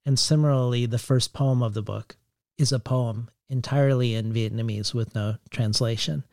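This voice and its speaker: A man interviewing a poet, asking a long, reflective question in a measured, hedging way. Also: mid-range voice, steady tone, creaky, a little mellow, no room sound, clean studio sound. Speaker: interviewer, podcast host.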